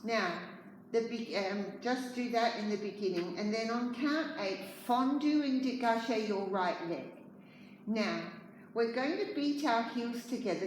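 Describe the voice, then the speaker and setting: A woman's voice: fairly high pitch, a little hiss or hum. A dance teacher from Australia leading a class of girls, counting steps aloud and calling out corrections to her pupils. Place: dance studio. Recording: echoey studio, teacher away from mic.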